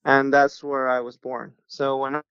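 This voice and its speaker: A young adult man from Mexico, a pastor, telling his life story in a relaxed podcast interview. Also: medium pitch, normal speed, smooth, dry recording, lo-fi sound.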